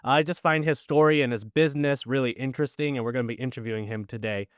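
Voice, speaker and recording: A man's voice: mid-range voice, steady tone, quick pace, clean tone, dry recording, studio quality. A young man hosting a casual podcast, introducing guests and asking questions in a loose, filler-heavy way. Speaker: podcast host.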